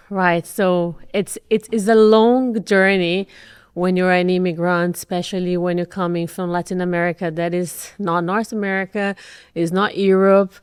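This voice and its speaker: A woman from Brazil hosting a podcast interview, enthusiastic and a little halting, with fillers as she frames questions. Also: fairly low pitch, smooth, dry recording, studio quality. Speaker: podcast host.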